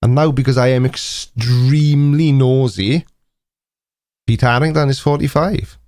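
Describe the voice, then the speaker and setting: A man's voice: medium pitch, a little slow, a little mellow, no echo. A man in his forties with a Welsh accent, hosting a casual live stream chat in a dry, teasing, mock-indignant manner. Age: 40s.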